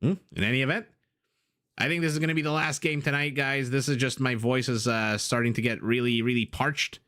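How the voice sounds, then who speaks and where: A man giving excitable live commentary on a strategy game, his voice raspy and his throat dry, with fillers and laughs. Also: mid-range voice, brisk. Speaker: game caster. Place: home room.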